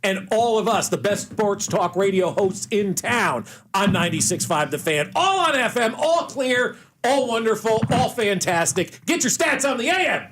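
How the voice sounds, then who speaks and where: A man's voice: sits high, lively intonation, normal speed, background noise. A man hosting casual sports radio talk, enthusiastic and emphatic. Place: radio studio.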